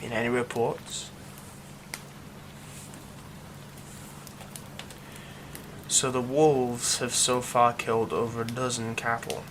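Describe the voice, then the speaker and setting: A bitter, volatile recluse, voiced by a man in an ASMR audio roleplay. He speaks at a middling pitch, mostly hushed and half-whispered, then bursts into scornful, cutting anger. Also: little pitch movement, moderate pace, slight background noise, close to an everyday voice, low-quality recording. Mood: hushed, wistful. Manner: soft half-whispered talk breaking into bitter, scornful outbursts.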